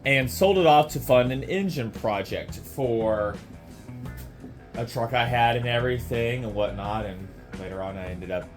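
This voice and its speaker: A man with a sore throat, his voice a little off, rambling casually in a home garage as he walks through an old motorcycle. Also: fairly low pitch. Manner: rambling, with many fillers and restarts. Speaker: motorcycle restoration vlogger. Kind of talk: casual walkaround vlog.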